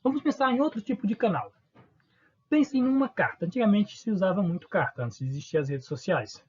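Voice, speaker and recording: Northeastern Brazilian teacher with a husky voice. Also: male voice, high voice, expressive, fairly quick, a little mellow, highs cut off. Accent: Northeastern Brazilian Portuguese. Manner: informal, asks students questions and answers them. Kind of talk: recorded online lesson.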